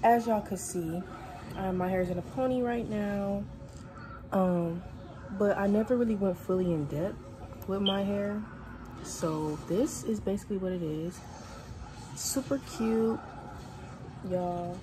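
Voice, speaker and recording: A woman's voice: medium pitch, unhurried, long pauses, noisy background. A woman in her twenties chatting casually to her phone camera in her bedroom, laid-back and rambling, full of slang. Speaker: vlogger. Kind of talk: casual vlog chat to camera. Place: bedroom at home, indoors. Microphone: phone camera at arm's length.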